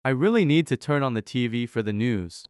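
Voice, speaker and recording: A man's voice: mid-range voice, expressive, fairly quick, no echo, studio quality, natural voice. A man playing a frightened man hiding at home in a scripted horror drama. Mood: alarmed.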